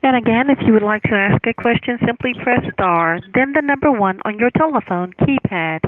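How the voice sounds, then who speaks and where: A woman's voice: on the high side, expressive, brisk. A woman working as a conference call operator, making scripted announcements over a telephone. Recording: dry, narrow phone line.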